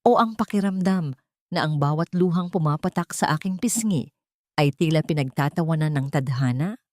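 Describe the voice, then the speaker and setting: A woman's voice: deep voice, moderate pace, mellow, no room sound, studio quality, lightly acted. Woman voicing an anguished, grieving young mother. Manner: anguished, brooding. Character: grieving, despairing young mother, bereft and alone. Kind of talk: dramatic audio storytelling.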